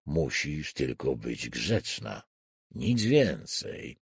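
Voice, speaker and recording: A man voicing a calm killer in an audiobook thriller, stern, coaxing and coldly patronizing. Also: rumbling low, husky, no echo, clean studio sound, pitched below the performer's own voice, exaggerated voice. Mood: soothing menace. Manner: slow, stern, softly coaxing.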